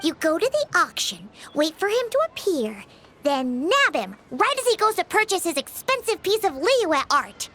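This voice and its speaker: A woman voicing a tiny, excitable female fairy companion who talks about herself in the third person, in a video game. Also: very high-pitched, moderate pace, exaggerated voice. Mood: thoughtful. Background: game music.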